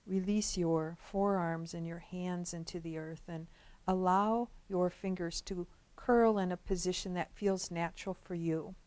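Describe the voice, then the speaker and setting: A woman guides a relaxation session in a fairly low, very mellow voice. She gives instructions gently and slowly, leaving long pauses between phrases. The sound is dry, with no room echo. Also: fairly even intonation.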